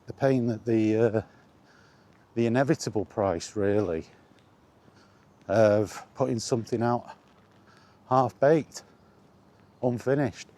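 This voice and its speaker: A man's voice: mid-range voice, slow pace, long pauses, warm tone. A man chatting casually and bluntly in a rambling, resigned way, as a vlogger walking outdoors. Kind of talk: casual walking vlog commentary on video games.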